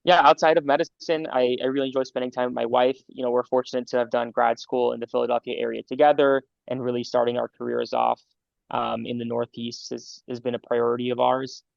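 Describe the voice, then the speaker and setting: A man's voice: medium pitch, little pitch movement, creaky, dry recording, muffled recording. A young man in his twenties, a medical student answering interview questions in a casual, friendly way, with many fillers and restarts. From Los Angeles, California. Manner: friendly, halting with fillers and repeats.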